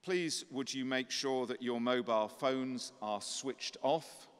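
A middle-aged clergyman speaks at a lectern microphone, his voice slightly croaky yet smooth and clear. He delivers a courteous, gently humorous welcome. Long cathedral reverb trails each phrase. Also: mid-range voice, slow pace. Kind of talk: formal welcome address. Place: large cathedral.

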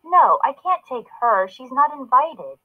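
A small, demanding little sister from a storybook, put on by a woman. She sounds high and whiny, pushing to get her way. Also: very animated, a little slow, phone-quality sound, exaggerated voice. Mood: protesting.

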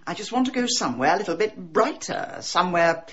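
A woman's voice: on the low side, expressive, fairly quick, fairly bright tone. An actress playing a blunt, haughty, middle-aged English woman detective in a radio drama, with a sardonic, brusque manner. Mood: impatient, dry. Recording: muffled radio drama, audible background ambience.

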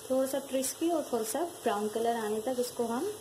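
Woman with doing a cooking tutorial, kinda high voice, calm how-to tone, noisy kitchen recording. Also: a little fast. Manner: calm, instructive, addresses viewers directly. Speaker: cooking channel host. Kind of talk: step-by-step cooking tutorial voice-over. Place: home kitchen. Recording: noisy home recording with background ambience.

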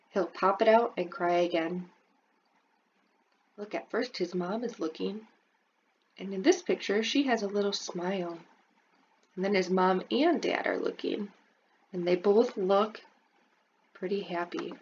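A woman reads a picture book aloud to her class at a slow, patient pace, pausing to ask the children about the pictures. She raises her voice high for the kids in the story. The recording is a bit dull and lo-fi. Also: a little hiss or hum. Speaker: preschool teacher.

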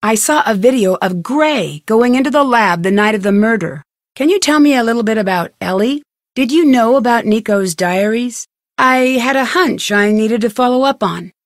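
An actress as an inquisitive young female detective in a mystery game. Her voice is fairly high and poised, asking things in a measured way, in a very dry recording. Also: animated, no room sound, natural voice. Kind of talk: video game character voice acting.

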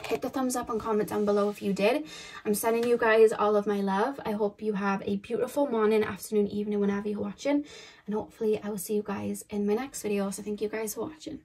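Woman, young adult, slightly croaky, rambling, tarot reader, casual. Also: mid-range voice, fairly even intonation, fast talker, a little mellow. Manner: chatty and rambling, repeats phrases, many fillers. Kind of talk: casual pick-a-card tarot reading. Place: indoors at a table, camera overhead.

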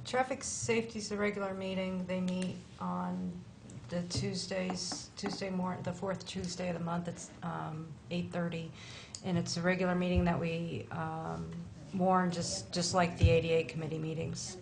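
Gravelly low-voiced woman official. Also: little pitch movement, normal speed, noisy background. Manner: matter-of-fact, explanatory. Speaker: town official. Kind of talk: answering questions at a meeting. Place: town hall meeting room.

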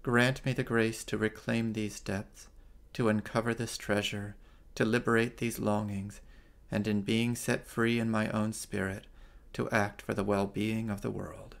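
Gravelly-voiced American priest, measured. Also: male voice, lowish voice, level tone. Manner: slow, measured liturgical reading. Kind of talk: reflective homily and night prayer. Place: living room at home, indoors at night. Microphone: webcam on a video stream.

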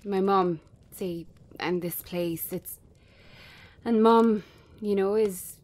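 A woman playing a weary, sharp-tongued bartender in her thirties in a naturalistic drama reading, halting and conversational. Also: mid-range voice, natural voice. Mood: frustrated, venting.

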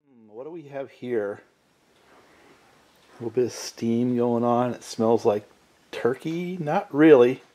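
A middle-aged man casually explaining repair steps at his workbench, in a relaxed, folksy way while handling tools. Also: mid-range voice, measured pace, pauses often. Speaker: small engine repair channel host. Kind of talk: casual workshop demonstration. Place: cluttered home workshop at a workbench. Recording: echoey workshop with loud tool noise.

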